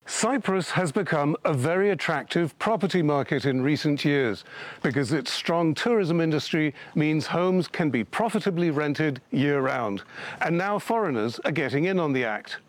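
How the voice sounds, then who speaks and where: A man's voice: a little high, expressive, normal speed. A man narrating a scripted television news report as a correspondent.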